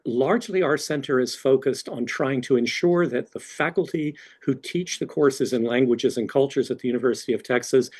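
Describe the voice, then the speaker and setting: A man's voice: mid-range voice, moderate pace, hardly any pauses, darkish tone, slightly rough. A man who directs a university language center gives earnest welcome remarks on a video call, often restarting his phrases. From Mexican background.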